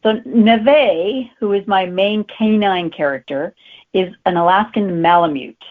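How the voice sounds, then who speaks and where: A woman speaks as an interviewed author in a casual, conversational style. Her pitch is average for a woman. The sound is thin and band-limited, like a phone call. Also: unhurried. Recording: tinny phone-like line.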